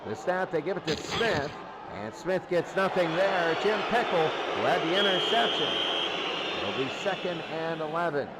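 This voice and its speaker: High-pitched male football announcer over loud noise. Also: normal speed. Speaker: play-by-play sports announcer. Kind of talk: American football radio commentary. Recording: loud steady noise behind the voice.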